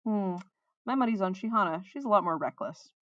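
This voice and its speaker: A woman's voice: medium pitch, lively intonation, fairly quick, a bit bright, dry recording, highs cut off, close to an everyday voice. A woman voicing a sensible storybook healer, wry and tolerant, who chides and sighs as she talks. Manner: sighing, wry, reproving.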